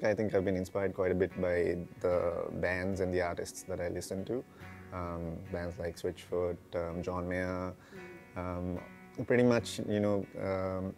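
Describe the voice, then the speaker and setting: A man's voice: sits low, darkish tone. A man, a singer-songwriter talking reflectively and casually as an interview guest. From Sri Lanka. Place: large brick-walled room with big windows, indoors. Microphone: lapel mic. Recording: music behind the voice. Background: guitar music.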